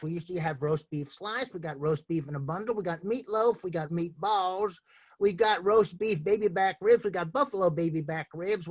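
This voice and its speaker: A man improvising a curt fast-food counter worker, rattling off menu items. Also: high voice, fairly bright tone, no echo, voice pushed down. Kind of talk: improv comedy.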